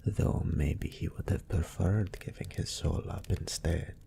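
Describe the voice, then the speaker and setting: A man playing a smug, lustful demon incubus in an audio roleplay, musing languidly and sardonically in a gravelly, breathy voice. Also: low voice, a little slow, mellow, a little hiss or hum, exaggerated voice. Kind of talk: scripted character monologue in audio roleplay.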